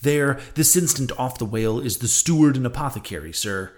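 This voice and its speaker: A man's voice: medium pitch, expressive, brisk, close to an everyday voice. A man voicing an indignant, sarcastic whaling ship's mate in a sea-novel audiobook, emphatic and full of mocking questions.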